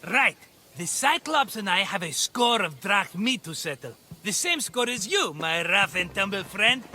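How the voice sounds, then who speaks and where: Sneaky hustler in a game, super sharp voice, bouncing all over, cheerful and grandiose. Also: male voice, high-pitched, sing-song, measured pace, full character voice. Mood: wheedling. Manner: theatrical, wheedling, grandiose. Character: scheming, cheerful, cowardly small-time hustler, grown man. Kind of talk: video game cutscene acting.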